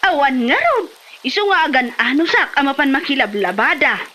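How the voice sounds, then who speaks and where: Chatty village mother played by a woman, Ilocano comedy drama: high-pitched, exclamatory, very animated. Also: normal speed, exaggerated voice. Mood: plaintive, grateful. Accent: Filipino, Ilocano.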